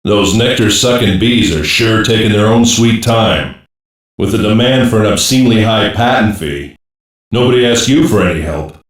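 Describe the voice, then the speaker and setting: A man playing a gruff, wisecracking special-operations cyborg soldier in an anime dub, blunt and sardonic with slangy delivery. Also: on the low side, expressive, normal speed, heavy reverb, far from the mic, close to an everyday voice, poor audio. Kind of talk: English-dub anime voice lines.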